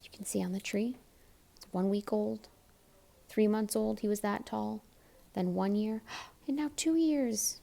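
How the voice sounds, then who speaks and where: A woman's voice: medium pitch, measured pace, vocal fry, pauses often, mellow. A woman reading a children's story aloud expressively, with chatty asides and questions to the young listener. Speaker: picture-book reader.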